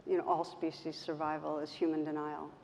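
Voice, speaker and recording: A woman with a soft voice speaking gently and reflectively as a meditation teacher, in measured phrases with pauses. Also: deep voice, little pitch movement, gravelly. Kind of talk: reflective talk in an on-stage conversation. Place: event stage in a hall. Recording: roomy hall, muffled, background noise.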